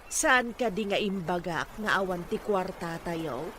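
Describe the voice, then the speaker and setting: A woman's voice: on the low side, unhurried, background noise, natural voice. A woman playing a reproachful farm mother in an Ilocano radio drama. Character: reproachful farm mother defending her hardworking son.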